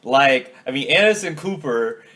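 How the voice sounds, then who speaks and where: A man hosting a casual podcast, talking in a relaxed, loose way with lots of fillers. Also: mid-range voice, normal speed. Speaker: podcast host. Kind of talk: casual chat.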